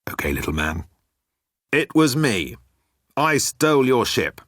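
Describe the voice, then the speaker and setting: A man's voice: medium pitch, sing-song, measured pace, a bit bright, no room sound, pitched above the performer's own voice. A man voicing a world-weary immortal alien with a dry, sardonic manner in an audiobook. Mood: goading.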